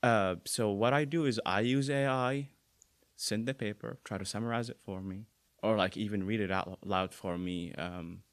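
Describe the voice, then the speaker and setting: A young man with an Arabic accent talks easily in a very low voice, the sound dry and close. He circles back and restarts often, filling pauses with small words as he goes. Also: dry recording. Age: young adult. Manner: relaxed, many fillers and restarts. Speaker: guest. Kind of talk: casual interview talk.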